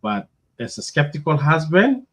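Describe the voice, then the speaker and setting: A man's voice: a little high, sing-song, normal speed. A man from Guinea, a guest answering hesitantly over a video call, with many ums. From Papua New Guinea. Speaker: guest, former electrician. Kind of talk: interview answers.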